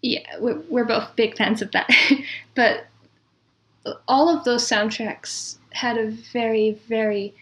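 A woman speaking as an interview guest in casual, reminiscing conversation, with a thoughtful, measured way of talking. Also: on the high side, fairly even intonation. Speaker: interview guest, composer and audio drama producer.

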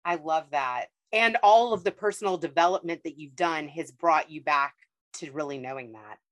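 Low-voiced woman host, dry sound. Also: moderate pace, dry recording. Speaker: podcast host. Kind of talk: interview chat.

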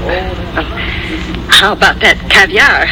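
An actress playing a kindly, wry woman who teases briskly, in an old-time radio drama. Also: on the low side. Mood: curious, wry. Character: kindly, wry woman, lively confidante of young lovers. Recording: noisy old radio recording.

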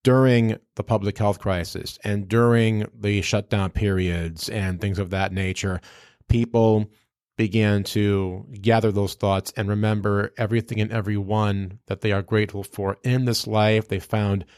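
A man's voice: lowish voice, fairly even intonation, a little slow, darkish tone, clean tone, no echo, studio quality. A man from New England hosting a radio interview, with polished, formal announcer phrasing and some slang mixed in. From United States, New England.

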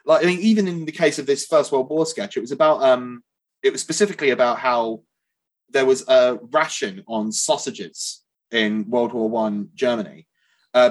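A British man in his twenties, a guest actor in a casual podcast chat, talking in a chatty, self-mocking way. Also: medium pitch. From Britain.

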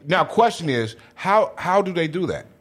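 A man's voice: fairly high pitch, big pitch swings, unhurried. A man chatting casually as a guest, emphatic and slangy, repeating phrases to drive points home. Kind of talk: casual chat.

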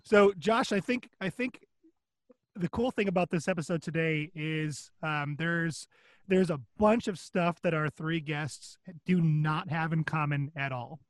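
A man co-hosting a podcast with a slightly high, upbeat voice. He talks casually and fills his sentences with ums and uhs. The sound is close and dry, with no room echo. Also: measured pace. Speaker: podcast co-host. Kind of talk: casual chat.

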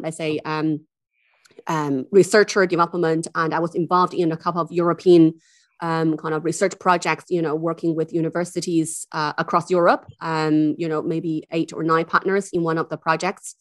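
A woman from China talks through her career as a podcast guest, in a lowish voice. She is lively and keen, with lots of fillers and restarts. The sound is dry and close. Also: moderate pace, no room sound. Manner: chatty and enthusiastic, many fillers and restarts. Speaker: guest, university intercultural officer. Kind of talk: casual interview chat.

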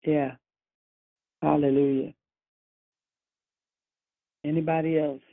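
A woman in her sixties leads a church prayer line, speaking slowly and warmly encouraging, with amens and hallelujahs. Her voice is dry and close, squeezed through a narrow phone line. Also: low voice, flat delivery, creaky, no room sound. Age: 60s. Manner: slow, encouraging, churchy exclamations. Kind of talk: church phone call fellowship.

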